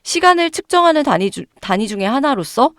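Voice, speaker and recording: A woman giving emphatic stock market commentary to her viewers, hoarse from a cold. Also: high-pitched, sing-song, a little fast, no room sound, studio quality. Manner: emphatic, addresses viewers directly, rhetorical questions.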